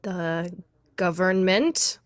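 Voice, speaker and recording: A woman's voice: on the low side, drawn-out words, no echo. A young woman playing a skeptical, persistent girlfriend in a contemporary radio drama, dry and argumentative as she questions her partner. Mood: testing. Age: young adult. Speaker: student voice actor.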